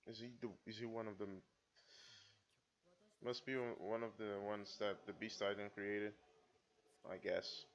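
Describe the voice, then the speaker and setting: A man for whom English is a second language, calmly commenting on an anime episode in a casual, self-described stoic way, with dull lo-fi sound. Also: lowish voice, measured pace, long pauses. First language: not English. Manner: self-described stoic, muttering asides and apologies. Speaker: anime reaction video host.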